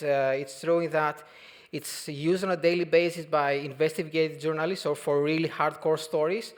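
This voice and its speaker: Relaxed man at a conference talk. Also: a little high. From Albania, grew up in Greece. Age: 30s. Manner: relaxed, halting, many fillers and restarts. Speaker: conference speaker. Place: conference hall.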